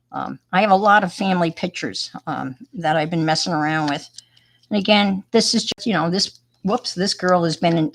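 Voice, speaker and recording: A retired woman teacher with a New York accent, chatting casually while showing her artwork, rambling and poking fun at herself. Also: lowish voice. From Long Island, New York. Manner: rambling, self-deprecating, chatty with fillers. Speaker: retired teacher and hobby artist. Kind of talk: casual show-and-tell chat.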